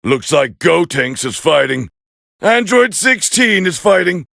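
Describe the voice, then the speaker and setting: A man voicing a proud, scornful alien warrior as a fighting game commentator, gravelly and haughty in an English anime dub style. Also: fairly high pitch, sing-song, unhurried, dry recording, clean studio sound, heavily acted. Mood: commanding, disdainful. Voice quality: gravelly, raspy. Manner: haughty, forceful, clipped exclamations.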